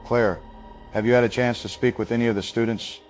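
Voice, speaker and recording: An actor playing a serious local news anchor, formal and polite in a breaking news broadcast drama. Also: male voice, medium pitch, fairly even intonation, fairly quick, faint music behind, lightly acted. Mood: sober, formal.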